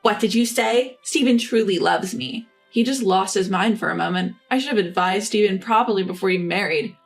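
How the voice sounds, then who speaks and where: A woman's voice: mid-range voice, moderate pace, vocal fry, faint music behind. A woman voicing a snide, entitled sister-in-law and young mother with a condescending, whiny manner. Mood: indignant.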